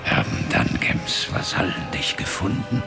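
An actor playing a weakening ghostly father in a fantasy radio drama, speaking gravely and deliberately with a breathy, gravelly voice. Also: low-pitched, big pitch swings, heavily acted. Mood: proud, intimate. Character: weakening ghostly father appearing to his son. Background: prominent music.

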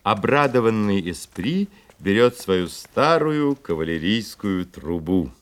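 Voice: sits low, lively intonation, slow pace, noisy background. A narrator reading the scripted stage narration of a radio play. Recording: loud music behind the voice. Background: loud music.

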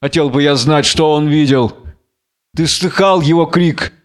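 A man's voice: on the high side, a little slow, natural voice. A man reading the part of a commanding, scheming Philistine lord, imperious and falsely solicitous, in a biblical tragedy. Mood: scornful musing.

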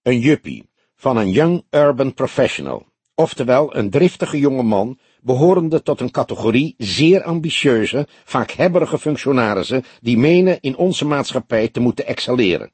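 A male reader acts out a police desk officer with a droll, mock-pompous manner in an audiobook. His voice is husky and close, with very little room sound. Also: medium pitch, sing-song, normal speed, a little mellow, no room sound, voice pushed up. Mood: droll, explanatory. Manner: droll, explanatory.

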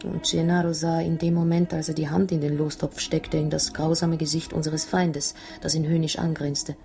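An actress in a German radio drama playing an anguished wife who tells her story in a dramatic, halting way. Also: deep voice, flat delivery, vocal fry, warm tone, faint music behind. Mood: haunted, grave. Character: anguished Italian wife recounting a murderous secret society's threat.

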